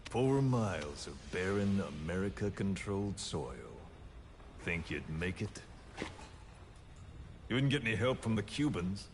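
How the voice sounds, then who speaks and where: A man playing a calm, sadistic military interrogator in a video game, speaking deliberately and tauntingly. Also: low voice, slight background noise.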